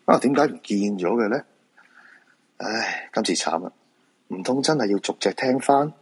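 A reluctant fortune-teller in a radio drama, acted by a man, brusque and exasperated. His voice is low and quite husky, with a Hong Kong Cantonese accent and a dismissive, protesting manner. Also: animated, moderate pace. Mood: flustered, annoyed. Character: reluctant male feng shui fortune-teller, married father, exasperated.

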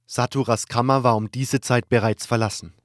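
A man reading a fantasy audiobook in the first person, in a measured, dramatic storytelling manner. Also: medium pitch, steady tone, no echo, clean studio sound. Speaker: audiobook narrator.

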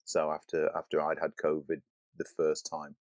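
A man with a deep, low-pitched voice hosts a casual film podcast, teasing his co-host in a playful way. The sound is dry and close, with no room echo. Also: completely flat delivery, normal speed.

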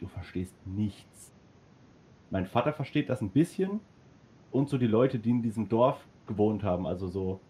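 A man's voice: lowish voice, dark tone. A man chatting casually in a group, rambling enthusiastically with lots of fillers. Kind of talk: casual chat.

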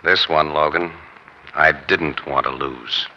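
An actor playing a wry, slangy American newspaper photographer who solves crimes, in a 1940s radio drama. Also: male voice, rumbling low, fairly even intonation, takes it slowly, very noisy. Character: wisecracking newspaper photographer sleuth, easygoing and wry. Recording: muffled 1940s radio recording.

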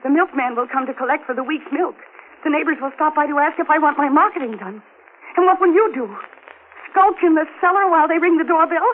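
A woman acts out an embattled wife and mother in a vintage radio thriller. Her high voice is intense and pointed as she faces danger. The sound is thin and faintly hissy, like an old broadcast. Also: quick pace, phone-quality sound, close to an everyday voice. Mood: coolly challenging. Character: defiant wife and mother fighting for her family.

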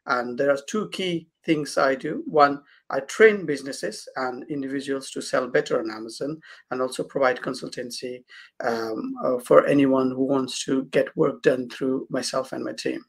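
A man's voice: mid-range voice, normal speed, creaky, slightly rough. A man from Nepal, a guest consultant, answering interview questions hesitantly with many fillers and restarts.

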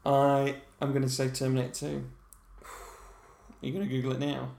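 A man's voice: mid-range voice, completely flat delivery, moderate pace, vocal fry, darkish tone. A man co-hosting a film podcast, chatting casually and joking. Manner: laughing, joking banter. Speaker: podcast co-host. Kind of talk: casual chat. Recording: echoey room with music underneath.

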